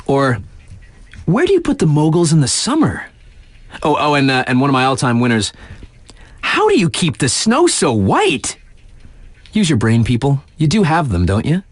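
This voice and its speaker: A man's voice: on the high side, huge pitch swings, moderate pace, long pauses, no room sound. A man playing a laid-back, sardonic young radio DJ in a video game, reading local news in a wry, slangy, conversational way. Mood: sarcastic.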